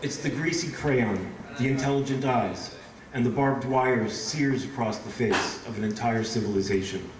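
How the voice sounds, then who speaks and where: A man's voice: mid-range voice, moderate pace. A man reading poems aloud at a live event, with informal asides between them. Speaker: poetry reader. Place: indoor bookshop event in front of audience. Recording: echoey, noisy room, distant microphone.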